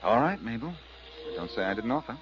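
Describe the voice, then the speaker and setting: An actor playing a confident, wisecracking reformed crook turned sleuth in a 1940s radio drama, with a cool, glib delivery. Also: male voice, medium pitch, very animated, a little fast, close to an everyday voice. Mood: cautioning. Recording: muffled, hissy vintage radio recording.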